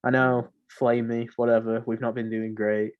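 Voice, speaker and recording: A man talks in a relaxed conversational format, as on a podcast. His pitch is average, and his delivery is informal and opinionated. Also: normal speed, lo-fi sound. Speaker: podcast host. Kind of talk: casual film podcast chat.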